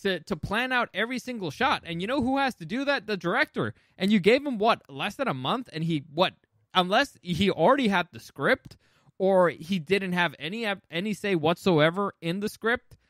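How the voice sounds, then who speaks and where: A man's voice: sits high, lively intonation, normal speed, a bit bright, no echo, studio quality. A man hosting a casual movie chat, opinionated and emphatic, swearing freely. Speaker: podcast host.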